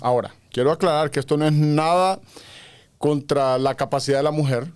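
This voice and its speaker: A man's voice: fairly high pitch, fairly even intonation, brisk, darkish tone, clear, resonant tone. A man from Honduras, a podcast guest who explains his views earnestly at length, with frequent fillers. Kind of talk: conversational interview.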